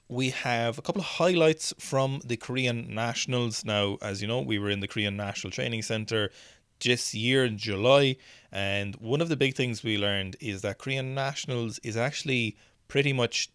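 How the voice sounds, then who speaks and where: A man's voice: medium pitch, moderate pace, a bit bright, smooth, dry recording, studio quality. A man hosting a strength sports news show, chatting casually through video clips and thinking aloud as he goes.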